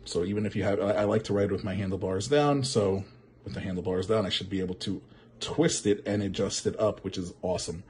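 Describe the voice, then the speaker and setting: A man giving a casual, unscripted product demo as a reviewer, halting and often repeating or correcting himself. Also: deep voice. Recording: clearly noisy background with clicks.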